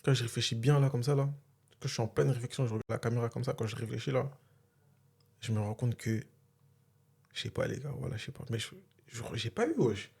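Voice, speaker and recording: Young guy chatting w friends, joking and bragging, husky voice, talks fast. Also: mid-range voice, fairly even intonation, a little mellow. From born in Philadelphia, raised in France. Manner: joking, boastful, heavy slang. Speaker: podcast host. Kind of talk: casual chat among friends.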